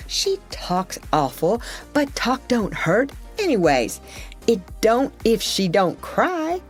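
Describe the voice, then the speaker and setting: A woman's voice: a little high, huge pitch swings, takes it slowly, faint music behind, pitched above the performer's own voice, full character voice. Woman doing a wheedling, scheming young boy. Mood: coaxing. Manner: coaxing, eager.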